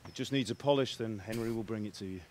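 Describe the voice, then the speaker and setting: A man's voice: on the low side, quick pace, a little mellow, a little hiss or hum, natural voice. An actor playing a hardworking blacksmith father who talks plainly and practically.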